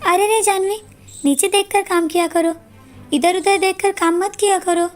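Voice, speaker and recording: A woman's voice: very high voice, fairly even intonation, moderate pace. A woman voicing a jealous, cruel young stepsister girl, bossy and scornful, in a cartoon moral story. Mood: spiteful, scornful. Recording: background music under the voice.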